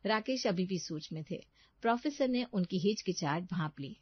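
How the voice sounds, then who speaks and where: A woman's voice: medium pitch, animated, normal speed, husky, lo-fi sound. A woman reading scripted storytelling narration as the narrator.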